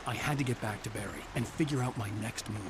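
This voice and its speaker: An actor playing a tense, haunted American writer in a dramatic video game. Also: male voice, mid-range voice, fast talker, close to an everyday voice. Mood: brooding. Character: tense, haunted male writer searching for his kidnapped wife. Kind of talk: dramatic game acting and brooding first-person narration. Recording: game audio with clear background noise.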